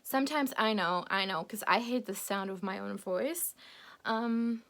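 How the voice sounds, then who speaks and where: A woman's voice: medium pitch, measured pace, fairly bright tone. A young woman from Poland, a native Polish speaker, giving a casual, encouraging English lesson to camera, laughing often. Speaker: English coach.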